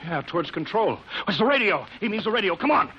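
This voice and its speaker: A man's voice: sits high, very animated, quick pace. A man playing an anxious air base project chief in 1950s radio drama, urgent and pleading. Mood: alarmed. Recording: muffled, hissy old radio broadcast.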